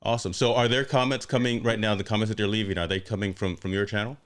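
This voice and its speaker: A man's voice: on the low side, steady tone, fast talker, clean tone. A male host running a casual livestream interview over a video call, upbeat, repeating words and tagging on "right?".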